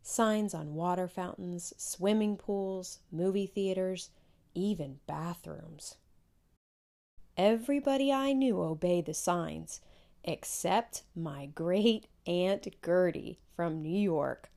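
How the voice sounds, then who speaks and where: A woman's voice: lowish voice, slow pace, creaky, mellow. A woman narrating a children's storybook in a scripted read-aloud.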